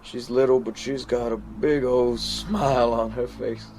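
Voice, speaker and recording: An actor playing a haunted American soldier, telling his story in a halting, stammering dramatic monologue with an American accent. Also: mid-range voice, fairly even intonation, a little mellow, a little hiss or hum, lightly acted. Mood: tense, hushed. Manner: halting, stammering, repeats words. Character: haunted male American soldier recounting a deadly standoff. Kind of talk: dramatic first-person monologue.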